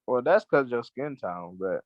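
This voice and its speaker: A man's voice: mid-range voice, lively intonation, measured pace, no echo, dull audio. A young man from the American South with a Southern accent, co-hosting a podcast and joking bluntly. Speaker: podcast co-host. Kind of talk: casual chat.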